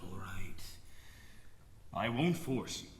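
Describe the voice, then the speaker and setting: A man's voice: very high-pitched, animated, measured pace, pushed and bright, slight background noise, heavily acted. An actor playing an exasperated, authoritative old village father who clings to tradition, sarcastic and emphatic in a theatrical musical drama style. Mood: resigned. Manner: blustering, sarcastic, emphatic, repeating himself. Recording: roomy echo, music behind.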